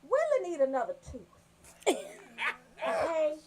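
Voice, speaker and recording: A woman's voice: sits high, huge pitch swings, slow, crowd noise. A woman telling comic stories as a radio show host, brash and freely swearing.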